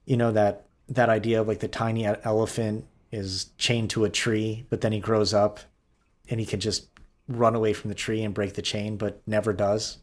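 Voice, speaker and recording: Guy with a lowish voice chatting casually as a podcast cohost. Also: level tone, normal speed. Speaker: podcast co-host.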